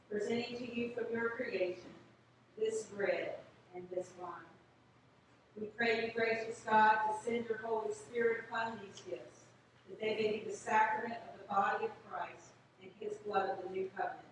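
A woman's voice: mid-range voice, steady tone, recorded from a distance. Woman priest praying in an echoey church. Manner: solemn, measured declaiming. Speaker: Episcopal priest. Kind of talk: liturgical prayer recitation. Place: inside a small church at the altar. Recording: echoey church from afar, noisy background.

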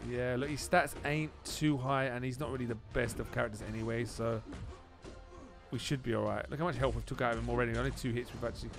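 Male gaming channel host, average pitch, slangy excited play-by-play that repeats itself, music underneath. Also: fast, gravelly. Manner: exclaims and repeats phrases, informal slang. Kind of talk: excited gameplay commentary. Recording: music behind the voice.

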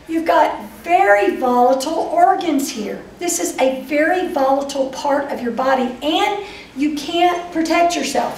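An older woman with a Texas accent giving a lively, chatty lecture to a live audience, speaking straight to them. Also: high voice, distant mic, a little hiss or hum. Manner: lively, chatty, addresses audience directly, acts out voices of dreams. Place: meeting room before a whiteboard. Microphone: far camera. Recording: roomy echo, voice from afar.

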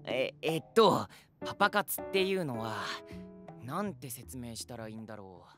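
Man playing an animated, earnest teenage boy idol. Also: mid-range voice, moderate pace, music in the background, close to an everyday voice. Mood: flustered. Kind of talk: Japanese audio drama acting.

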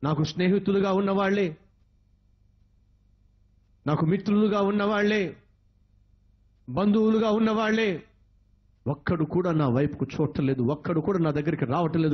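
Husky high-voiced preacher man. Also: highs cut off. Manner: emotional, repetitive preaching with dramatic pitch swings. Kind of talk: sermon.

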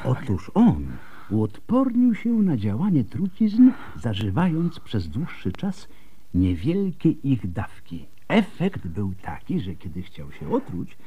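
An actor playing a tipsy, wry and animated physician in a radio drama, with a husky voice and a theatrical delivery. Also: male voice, medium pitch, takes it slowly, dull audio, heavily acted. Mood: reflective.

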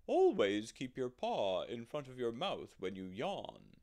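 A man voicing a fat old owl from a children's storybook, loud, hooting and scolding. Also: mid-range voice, huge pitch swings, a little slow. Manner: hooting, emphatic. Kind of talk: children's storybook character reading.

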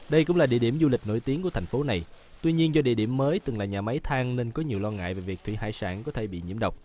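Man, news voice-over, very fast pace, even, scripted, studio read. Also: medium pitch, a little hiss or hum. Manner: brisk, even report reading.

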